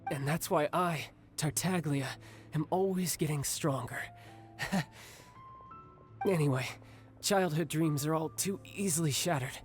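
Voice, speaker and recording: Cocky young male fighter for a game, played by a man: theatrical, smooth, injured but proud, soft on family. Music underneath. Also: a little high, a little slow, close to an everyday voice. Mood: proud, earnest. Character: cocky battle-loving young male warrior, doting older brother, injured. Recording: background music.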